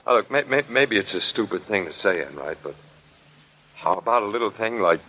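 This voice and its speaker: Dogged reporter, muffled old radio. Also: male voice, fairly low pitch, expressive, brisk, sharp tone. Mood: urgent, insistent. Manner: insistent, blunt questioning. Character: dogged, hard-nosed male newspaper reporter pressing for truth. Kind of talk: 1940s radio drama acting. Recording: dull old 1940s radio recording.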